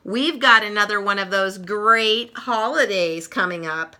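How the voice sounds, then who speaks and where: A woman's voice: medium pitch, unhurried, pushed and bright. A woman voicing a pushy, crabby big-sister girl in a children's story, insistent and emphatic. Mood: hinting, smug.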